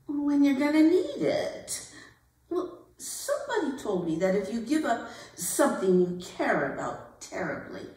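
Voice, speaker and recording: High-pitched gushing debutante, echoing hall. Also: female voice. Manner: frantic gaiety, exclamatory, dramatic pauses. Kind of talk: theatrical monologue, staged reading. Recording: very echoey hall, distant mic.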